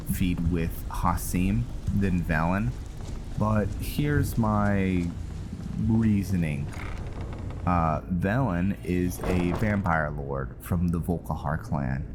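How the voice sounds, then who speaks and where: A man's voice: deep voice, background noise. A man giving casual let's play commentary, laid-back and musing, often talking to himself as he plays. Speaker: gamer and role-play series host. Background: game music.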